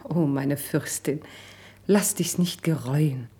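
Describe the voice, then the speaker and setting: An actress playing a gentle, devoted lady confidante in a classical verse radio drama, speaking in a measured, soothing, declamatory manner. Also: heavily acted. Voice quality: low, dark, very breathy.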